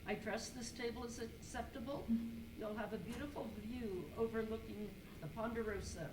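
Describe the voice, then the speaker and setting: A woman's voice: a little high, moderate pace, natural voice. A woman playing a polite, eager cowgirl servant in an amateur radio skit. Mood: polite, welcoming. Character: polite eager cowgirl dining room hostess and housekeeper. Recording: noisy echoing room, distant mic.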